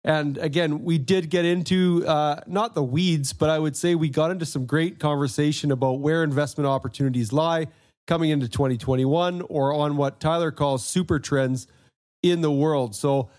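A man's voice: on the high side, normal speed. Chatty podcast host man in his forties. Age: 40s. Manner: relaxed, chatty, many fillers. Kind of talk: casual interview chat.

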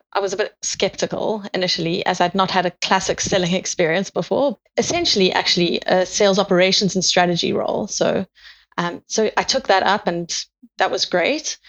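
A woman from South Africa with a South African accent, a guest on a podcast giving measured, methodical answers about her career. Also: fairly low pitch, rough recording. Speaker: guest, sales operations manager.

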